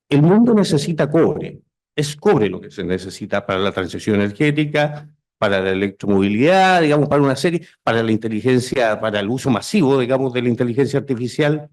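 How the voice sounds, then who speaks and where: A man's voice: medium pitch, darkish tone. A Chilean man speaking as a conference presenter, informal and conversational, self-deprecating and repeating points for emphasis. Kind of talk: informal presentation to a seminar audience.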